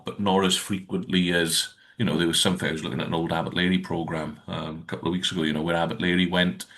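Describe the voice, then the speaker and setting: A man's voice: low-pitched, fairly bright tone. A man co-hosting a podcast in casual rugby chat, rambling and hesitant. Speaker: podcast co-host.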